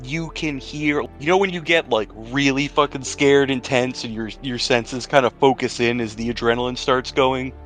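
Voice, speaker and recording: A man's voice: mid-range voice, steady tone, moderate pace, few pauses, fairly bright tone, faint music behind. A man running a tabletop roleplaying game, narrating the scene as game master.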